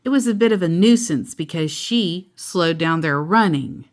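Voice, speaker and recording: A woman's voice: fairly low pitch, animated, moderate pace. A woman reading a picture book aloud to a child, adding simple explanatory asides as she goes.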